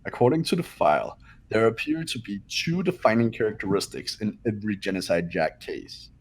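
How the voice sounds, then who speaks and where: A man's voice: lowish voice, lively intonation, brisk, husky. A man voicing a haughty, cold young rich genius who makes accusing declarations in an amateur game dub. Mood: cool, explanatory.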